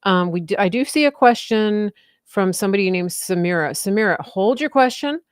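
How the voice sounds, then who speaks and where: The host of a casual livestream, a woman of sixty with a smooth, clear voice in a dry room, chatting informally with guest and viewers. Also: medium pitch, lively intonation, moderate pace, no echo. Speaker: live show host. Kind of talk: casual unscripted chat.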